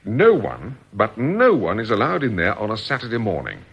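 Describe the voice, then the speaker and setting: An actor playing a suave, authoritative criminal boss in a radio drama, speaking in a measured, formal, businesslike way. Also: male voice, on the low side, huge pitch swings, breathy edge, lo-fi sound, heavily acted. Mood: smooth, persuasive. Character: suave, authoritative male criminal boss running a scheme like a company.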